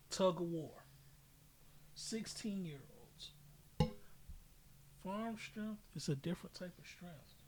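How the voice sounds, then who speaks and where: An American man from Chicago talking sports casually, explaining his opinions with examples. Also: fairly high pitch. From Chicago, United States. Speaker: podcast host. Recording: noisy amateur podcast audio.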